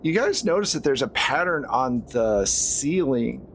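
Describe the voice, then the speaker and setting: A man's voice: medium pitch, very animated, measured pace. A man narrating a horror tabletop roleplaying game as game master, in a conversational way with casual asides. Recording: clear background noise.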